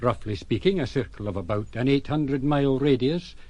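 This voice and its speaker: An actor playing a blunt, gossipy sea captain, narrating an audio drama in a wry, conversational way. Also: male voice, medium pitch, moderate pace, a little mellow, no room sound, close to an everyday voice.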